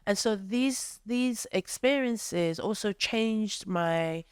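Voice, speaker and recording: A woman's voice: mid-range voice, takes it slowly, clear, resonant tone, no echo. A woman from Nigeria who is an artist, answering a workshop question in a thoughtful way with many fillers. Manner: thoughtful, many fillers, acts out friends' voices.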